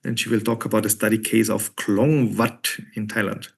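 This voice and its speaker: A man's voice: fairly low pitch, level tone, moderate pace, vocal fry, slightly rough. A man chairing a conference session, courteously thanking and introducing speakers in an orderly way. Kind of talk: conference moderation.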